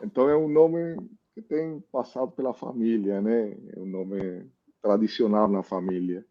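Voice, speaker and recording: A man's voice: lowish voice, normal speed, muffled recording. A man from Cuba with a Cuban Spanish accent, a meteorologist speaking Portuguese as a guest in a casual interview, tagging his sentences with "né?". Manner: thoughtful, many "né?" tags.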